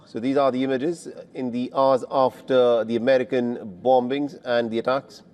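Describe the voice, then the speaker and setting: A male news anchor with a mid-range voice reads breaking news in a measured, clear way, then moves into questioning a remote guest. His delivery stays steady and composed. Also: mid-range voice, muffled recording. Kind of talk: live breaking news presenting.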